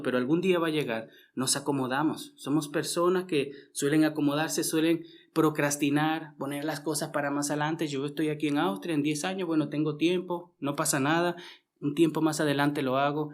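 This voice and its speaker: A man from Venezuela with a Venezuelan accent, preaching a conversational sermon to his congregation. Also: a little high. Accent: Venezuelan Spanish. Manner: conversational, frequent tag questions and restarts.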